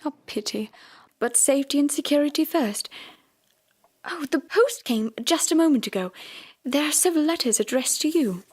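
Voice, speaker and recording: An actress playing a gentle, supportive Victorian doctor's wife in an audio drama, with polite composure. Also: female voice, sits high, expressive, moderate pace. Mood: attentive, composed.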